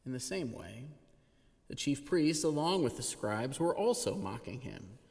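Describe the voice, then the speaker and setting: A man giving an expressive, dramatic scripture reading as a reader at a church service. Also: medium pitch, measured pace, gravelly. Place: church sanctuary. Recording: reverberant church hall.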